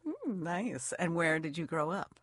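Interviewer, halting questions, repeated starts, dry studio sound. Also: mid-range voice, sing-song, a bit bright, slightly rough, no room sound. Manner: hesitant, repeats words when starting questions.

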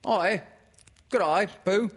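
A man voicing a fussy, distracted mother kangaroo in a storybook, brisk and motherly. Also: high-pitched, husky, big-room sound, voice pushed up, heavily acted. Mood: cheery greeting.

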